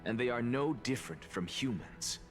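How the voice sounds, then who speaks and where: A man voicing a calm, arrogant undead scholar in a video game, deliberate and condescending, with music behind him. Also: medium pitch, close to an everyday voice. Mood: lecturing.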